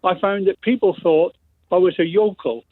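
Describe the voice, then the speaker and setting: A man's voice: high-pitched, normal speed, no echo. West Country man on a phone line. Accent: West Country English. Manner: self-deprecating, laughing, rambling anecdote. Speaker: caller. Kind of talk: phone-in call.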